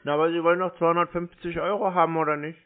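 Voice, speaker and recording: A man's voice: on the high side, flat delivery, racing. A man chatting casually on the phone, asking needling questions in a mock-naive manner. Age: adult. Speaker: prank caller to scam call centres. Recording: band-limited phone call audio.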